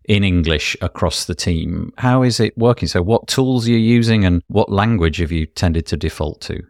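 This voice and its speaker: A man's voice: sits low, moderate pace, a little mellow, no echo, clean studio sound. A man interviewing a guest, asking long, courteous questions and apologizing politely along the way. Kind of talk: podcast interview.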